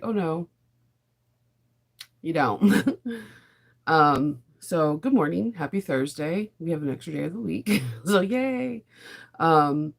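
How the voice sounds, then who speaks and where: A woman hosting a casual sewing live stream, her voice raspy because she is losing it to a sinus illness, chatting in a rambling, laughing way. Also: low-pitched. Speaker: sewing channel host.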